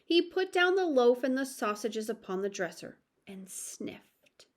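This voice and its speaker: A woman's voice: fairly high pitch, sing-song, measured pace. A woman reading a children's story aloud expressively, with asides to the listener.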